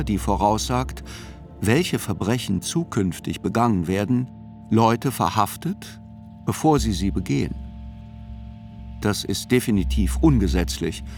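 A man narrating a radio play as a court reporter, in measured, articulate literary reportage. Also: fairly low pitch, darkish tone. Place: studio. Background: music.

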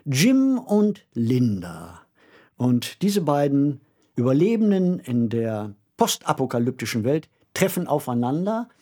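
A man who is an author, talking reflectively in a radio discussion and growing animated when he makes a point. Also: medium pitch, unhurried, husky.

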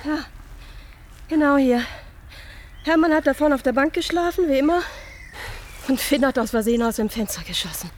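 Radio drama role: puzzled female jogger, very high voice, questioning, noisy outdoor ambience. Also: lively intonation, moderate pace, long pauses. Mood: matter-of-fact. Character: chatty, puzzled woman out jogging. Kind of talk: naturalistic German radio crime drama.

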